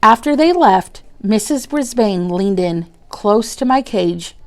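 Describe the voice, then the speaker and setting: A woman reads a children's storybook aloud as a teacher would. Her pace is very slow and deliberate, with an expressive delivery and a medium-pitched voice. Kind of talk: storybook narration.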